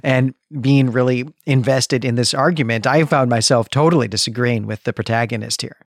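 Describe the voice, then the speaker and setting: A man's voice: mid-range voice, normal speed, no echo, clean studio sound. A man co-hosting a podcast, casually discussing fiction and giving his opinions in conversation. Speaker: podcast co-host.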